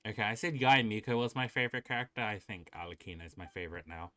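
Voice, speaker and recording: A man's voice: on the low side, fast, sharp tone. A man streaming a game, giving casual live commentary and chatting to viewers in a friendly, welcoming way.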